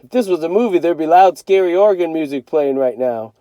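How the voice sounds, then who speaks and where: A man reading a children's novel aloud as a teacher, in an expressive storytelling style. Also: on the high side, fairly quick, no room sound.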